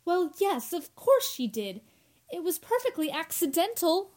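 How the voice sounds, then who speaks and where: An actress plays a well-informed, reasonable young woman in old-time radio style. Her high voice moves at a slow pace with very animated intonation. She explains things in a theatrical way. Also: full character voice. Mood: firm, scolding.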